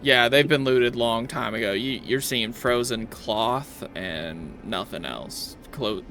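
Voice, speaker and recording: A man's voice: mid-range voice, slow, bright, forceful tone, soft background music. A man working as game master, improvising narration and rulings for a tabletop role-playing game.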